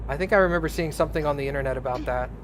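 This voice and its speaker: Male streamer host, relaxed chat, a bit higher pitch, faint noise. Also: racing. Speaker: let's play streamer. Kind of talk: casual game chat.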